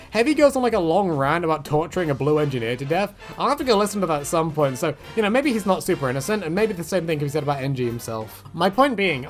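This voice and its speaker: A man giving a casual, rambling video commentary in a chatty way, often correcting himself and wandering off on tangents. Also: fairly high pitch. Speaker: gaming video creator.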